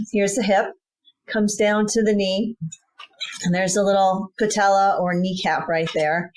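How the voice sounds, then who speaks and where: A woman in her fifties talks a listener through a topic patiently, one step at a time. She speaks at an ordinary pitch for a woman and in a steady explaining manner, as if pointing things out on a model. She is a guest in an interview. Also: low-quality recording. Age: 50s. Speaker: veterinary sports medicine guest.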